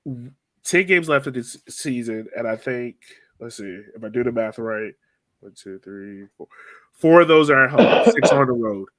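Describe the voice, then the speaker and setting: A man with a mid-pitched voice hosts an informal sports podcast. He thinks out loud, circling back to reword his questions as he steers the conversation. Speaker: podcast host steering the discussion. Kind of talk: casual sports podcast discussion.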